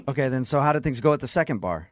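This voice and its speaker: A man's voice: medium pitch, expressive, rapid-fire, no echo, studio quality. A man hosting a radio show in a casual morning banter style, teasing and jokey. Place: radio studio.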